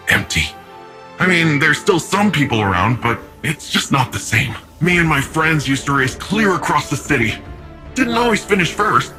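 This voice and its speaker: A man's voice: medium pitch, bright, forceful tone. An actor playing a big, cheerful, cocky young male city defender in a video game, with a breezy, slangy, eager and friendly manner. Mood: wistful, casual.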